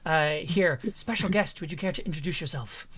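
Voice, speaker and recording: A woman's voice: low-pitched, a little fast, fairly bright tone, husky. A woman hosting a casual livestream over an online call, often hesitating and repeating herself. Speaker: host.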